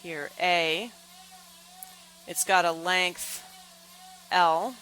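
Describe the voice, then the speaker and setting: A woman explaining as an engineering instructor, step by step, in deliberate, halting phrases with repeated words. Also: lowish voice, fairly even intonation, bright, forceful tone, slight background noise, rough recording.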